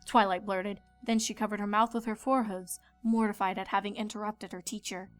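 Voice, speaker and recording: A woman's voice: medium pitch, flat delivery, fairly quick, soft background music. A woman reading scripted fan fiction narration as a storyteller.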